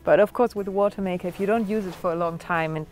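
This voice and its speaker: A young woman chatting easily about sailing equipment, relaxed and easygoing. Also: on the low side, quick pace. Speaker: guest sailor. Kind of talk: casual conversation on a sailboat. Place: outdoors on a sailboat cockpit.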